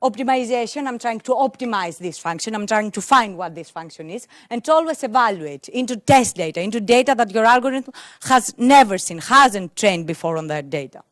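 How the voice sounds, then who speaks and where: A young woman whose first language is Greek presents at a tech conference, holding a mic and searching for words with frequent fillers and restarts. Her voice sits a little high. The sound is dry and close, with little room in it. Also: animated, brisk, hardly any pauses, no room sound. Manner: hesitant, many fillers and restarts. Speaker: conference speaker. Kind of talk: technical conference talk. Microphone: handheld mic.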